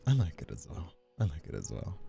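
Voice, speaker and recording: A man's voice: very deep voice, huge pitch swings, a little fast, creaky, mellow, soft background music. A man from Brazil hosting a livestream in casual, playful chat, rambling and often repeating himself. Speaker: streamer and voice actor hosting a livestream.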